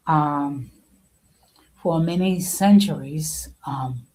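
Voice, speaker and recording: A woman speaks as the host of an informal talk, in a slightly low, relaxed voice. She moves very slowly and deliberately, halting often and repeating phrases to press her point. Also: low-quality recording. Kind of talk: informal opinion talk to an audience.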